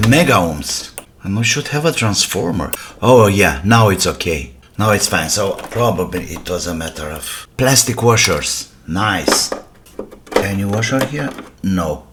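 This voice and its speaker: A man, an English speaker, thinking aloud in a casual, halting way as he hosts a hands-on repair vlog, muttering asides to himself. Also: fairly low pitch. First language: not English. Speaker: host of an electronics repair channel. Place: indoor workbench. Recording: noisy workbench with clicks and clatter.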